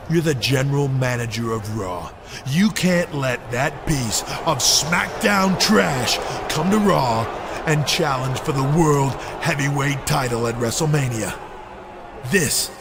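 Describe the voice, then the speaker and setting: An arrogant champion wrestler in a video game. He speaks slowly at a middle pitch, commanding and full of contempt, with clear noise around him. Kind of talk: video game cutscene acting.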